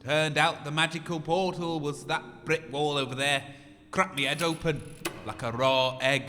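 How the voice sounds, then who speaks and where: A man's voice: a little high, fairly even intonation, moderate pace, pushed and bright, a little hiss or hum, pitched below the performer's own voice. A man voicing the dim, plaintive ghost of a dead girl, telling a mock-tragic tale in an uneducated rustic way. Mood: plaintive, woeful. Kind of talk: comedy audio drama acting. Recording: long hall reverb.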